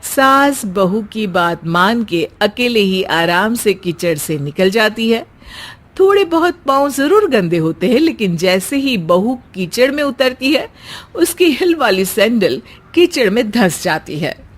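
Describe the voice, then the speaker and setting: A woman's voice: on the high side, big pitch swings, a little slow. A woman reading scripted story narration as the narrator.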